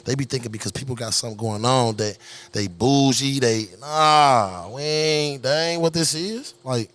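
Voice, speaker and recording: A man's voice: mid-range voice, normal speed, fairly bright tone, no room sound. A man hosting a podcast, talking in a hyped, slangy, rambling way with lots of fillers. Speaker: podcast host. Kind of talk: casual podcast interview.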